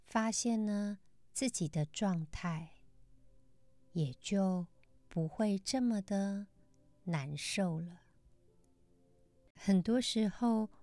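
A woman with a dark, mellow voice hosts a meditation podcast. She speaks very slowly and gently, pausing often between short phrases. The sound is close and dry, suited to calm mindfulness teaching. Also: lowish voice, no echo. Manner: measured, gentle phrasing with frequent pauses. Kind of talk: calm scripted mindfulness talk.

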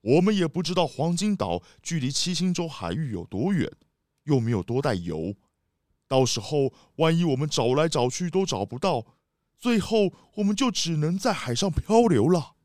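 A man playing a polite, worried city mayor who tries to calm people in a radio drama. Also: a little high, normal speed, no echo, studio quality. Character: anxious, placating city mayor hosting a cruise.

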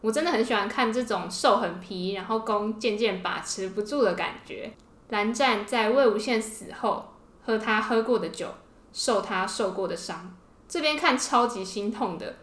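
A woman with a Taiwanese accent co-hosts a casual podcast about fiction. She explains things expressively, like a keen fan, at an everyday female pitch. The room adds noticeable echo around her voice. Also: moderate pace, fairly bright tone. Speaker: podcast co-host. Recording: roomy, echoey sound.

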